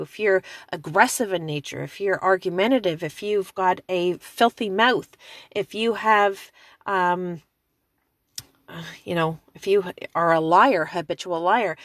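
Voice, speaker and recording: A woman in her forties delivers an informal sermon with chatty fillers. Her pitch leaps high on emphatic calls. The recording is dry and close. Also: dry recording. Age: 40s. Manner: conversational with frequent fillers. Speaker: preacher. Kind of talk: informal preaching.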